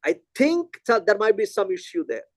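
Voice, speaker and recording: A middle-aged man from India, a Telugu native, giving a physics lecture in an animated, conversational way and thinking aloud. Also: high voice, moderate pace, dry recording, studio quality.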